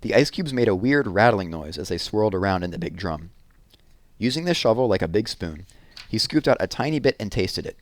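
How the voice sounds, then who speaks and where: A man with a slightly low voice narrates a children's book. His reading is expressive, and the recording is dry and close, with very little room sound. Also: brisk, no room sound. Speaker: read-aloud narrator.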